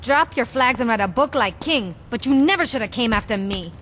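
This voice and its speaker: A woman's voice: fairly high pitch, expressive, brisk, a bit bright, slight background noise. An actress playing a ruthless female street gang boss in a video game, cocky, foul-mouthed and sardonic. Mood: cold contempt. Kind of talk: dramatic video game cutscene acting.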